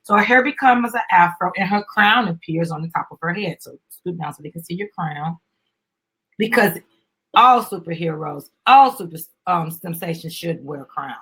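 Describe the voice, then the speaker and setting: A middle-aged woman presents a children's book in a husky voice. She reads with animation, then steps out of the story to explain it. Her manner is encouraging and instructive, aimed at young listeners and their parents. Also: on the low side, animated, moderate pace, a bit bright, poor audio.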